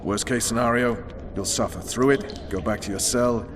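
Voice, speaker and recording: An actor playing a hard, commanding special forces officer and interrogator in a video game, clipped and coolly threatening. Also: male voice, mid-range voice, fairly even intonation, moderate pace, natural voice. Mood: menacing. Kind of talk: video game cutscene acting. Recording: clearly noisy background ambience.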